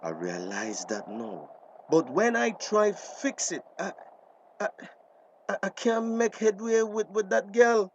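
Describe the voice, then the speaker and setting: Anguished Belizean grandfather, slow and swooping. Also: high-pitched, big pitch swings, dull audio, slight background noise, exaggerated voice. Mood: guilt-ridden anguish. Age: older. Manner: halting, repeating himself. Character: anguished older grandfather, guilt-ridden and worried. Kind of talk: acted screen drama dialogue.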